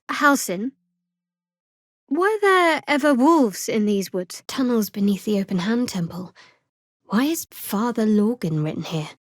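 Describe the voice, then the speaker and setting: A woman voicing a guarded, sardonic young cleric of a dark goddess in a video game, with dry, clipped, wry delivery. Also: a little high, no room sound. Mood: uneasy, hushed. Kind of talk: video game character dialogue.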